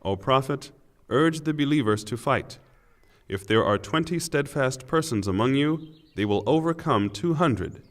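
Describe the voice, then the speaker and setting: A man reading a scripture translation from a script in a measured, formal and solemn way. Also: medium pitch, expressive. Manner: measured, formal delivery in short phrases. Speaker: reader of English scripture translation.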